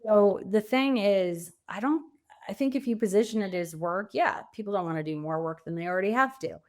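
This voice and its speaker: A woman talks as a coaching guest on a podcast. Her voice sits at a medium pitch. She speaks reflectively in a conversational way, leaning on key phrases for emphasis. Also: a little fast.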